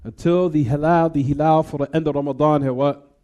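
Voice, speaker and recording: A man's voice: a little high, steady tone, a little fast, mellow. A man teaching a class on hadith, explaining in English with recited Arabic and often quizzing the students with "what?" questions. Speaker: Islamic teacher.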